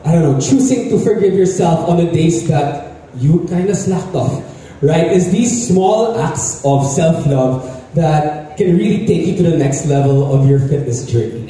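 A man's voice: fairly high pitch, expressive, a little slow, dark tone. A man in his twenties from the Philippines, a group fitness instructor giving an upbeat motivational speech and engaging the audience. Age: 20s. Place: large indoor hall stage. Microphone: handheld mic, filmed from far. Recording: echoey hall from far off.

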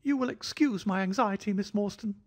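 A man voicing a jittery, fussy little man of about thirty, an anxious hypochondriac with a thin, high voice. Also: expressive, normal speed. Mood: fretful. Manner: fidgety, effusive, fretful.

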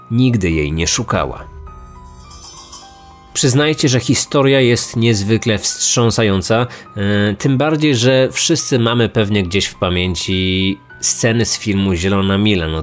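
A man hosting a podcast, telling true stories as scripted documentary narration. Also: on the low side. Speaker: true crime podcast host.

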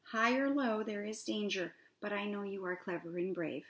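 A woman voicing a calm, wise round fish in a children's story, hushed and soothing. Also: mid-range voice, moderate pace, natural voice. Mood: reassuring.

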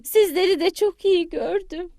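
A woman's voice: very high voice, a little slow, warm tone, pitched above the performer's own voice. A woman voicing a kindly, tender old grandmother hen in a children's cartoon, speaking affectionately. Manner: affectionate, blessing and fussing over children.

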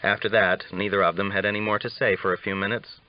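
Low-voiced male audiobook narrator. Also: flat delivery, fast talker. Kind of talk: scripted novel narration. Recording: slightly dull, lo-fi audiobook recording.

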